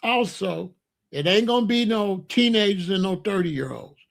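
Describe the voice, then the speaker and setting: Older man, high-pitched voice, blunt, repetitive, opinionated. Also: expressive, normal speed, vocal fry. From Chicago, USA. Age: 60s. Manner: emphatic, repetitive, blunt street talk. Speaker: commentator. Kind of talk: opinionated monologue.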